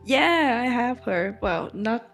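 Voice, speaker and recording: A young woman co-reading a book, speaking in simple, soft-spoken phrasing and laughing along. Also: a little high, lively intonation, slow pace, vocal fry, soft background music. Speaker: co-reader. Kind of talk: casual chat.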